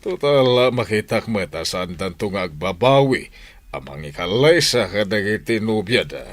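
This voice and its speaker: Radio drama elder confidant, male actor: deep, animated, practical and reassuring, dry sound. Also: moderate pace, dry recording, lightly acted.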